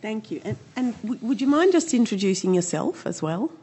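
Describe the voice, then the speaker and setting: A woman's voice: mid-range voice, sing-song, normal speed, dark tone, slight background noise. A woman moderating an audience Q&A, inviting questions in short, brief lines. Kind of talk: hosting audience Q&A.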